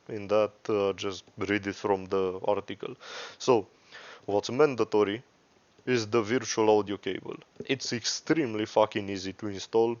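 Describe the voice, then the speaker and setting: A man with a fairly low voice presents an off-the-cuff screen-share tutorial. He talks slowly and rambles, correcting himself and cursing casually. The sound is close, dry and somewhat lo-fi. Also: clean tone, dry recording. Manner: rambling, profane, self-correcting.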